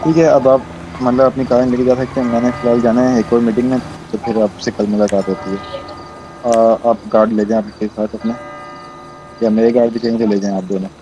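A man improvising roleplay as a wealthy, generous businessman host, speaking politely and haltingly with repeated phrases. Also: mid-range voice, steady tone, background noise. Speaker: roleplay player. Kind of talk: improvised in-character roleplay dialogue.

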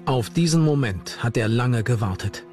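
A man's voice: medium pitch, lively intonation, normal speed, a little mellow. Male documentary narrator over background music. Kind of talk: scripted true-crime documentary narration.

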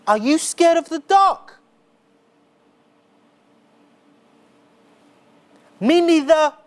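A man on stage playing a lonely young boy who begs to be a sidekick, speaking earnestly in a theatrical spoken-word monologue. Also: animated. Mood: eager. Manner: earnest, slow and deliberate, rising pitch on key words. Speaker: stage performer. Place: dark smoky theatre stage.

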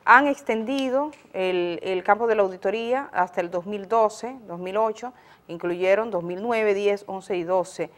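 A woman's voice: medium pitch, unhurried. A woman with a Dominican accent presenting news commentary conversationally, explaining things directly to viewers in a studio. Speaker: news program host.

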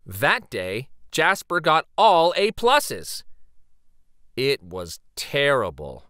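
A man reading a children's picture book aloud as dramatic, suspenseful narration, with theatrical pauses and emphasis. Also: a little high, wildly swooping pitch, bright, forceful tone, no echo, studio quality.

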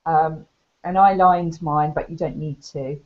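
A woman's voice: deep voice, steady tone, measured pace. A woman in her thirties talking informally and modestly about homemade crafts on a video call from a room at home. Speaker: craft blogger. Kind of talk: casual craft show-and-tell. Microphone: webcam video call. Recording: dull lo-fi video call audio.

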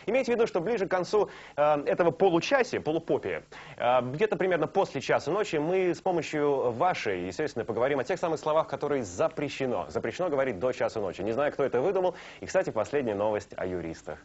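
A young man hosts a live late-night show from a studio sofa, his voice a little high and bouncing all over the place. He teases and jokes with viewers. The sound is dull and lo-fi, like old broadcast tape. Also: fast talker. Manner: joking, teasing, lively and animated. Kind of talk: playful live studio banter.

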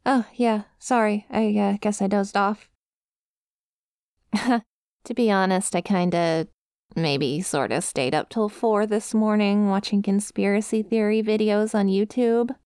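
Female actor for scatterbrained dog-girl student, ASMR roleplay. Mid-pitched, casual, rambling and friendly, recorded dry. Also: fairly even intonation, unhurried, a little mellow, clear, resonant tone, dry recording, lightly acted. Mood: sheepish, rambling. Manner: casual, rambling, hesitant, easily sidetracked. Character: scatterbrained, friendly inu dog-girl student, easily distracted study buddy. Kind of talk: ASMR roleplay, one-sided conversation with listener.

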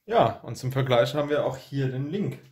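A man's voice: medium pitch, lively intonation, normal speed, darkish tone. Man, mid-range voice, casual review commentary, unboxing host.

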